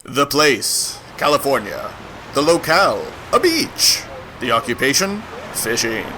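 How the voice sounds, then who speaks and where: A man's voice: medium pitch, big pitch swings, measured pace, sharp tone, a little hiss or hum. A man co-hosting a podcast, switching playfully between joking banter and mock-dramatic narration.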